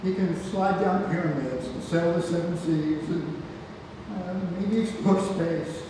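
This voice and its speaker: A man playing a frail, wistful old grandfather in an amateur play reading, halting and trailing off. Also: fairly high pitch, natural voice. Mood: tender, encouraging. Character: frail, ailing old grandfather, wistful, tender, testy with his son. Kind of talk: amateur stage play table read. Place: theatre room, far from the mic. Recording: distant, very echoey hall, noisy.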